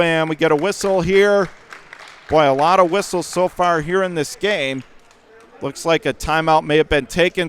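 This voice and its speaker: A man doing live radio play-by-play of a basketball game in punchy short phrases, getting excitable on big plays. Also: a little high. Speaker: radio play-by-play sports announcer. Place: indoor school gymnasium during a game.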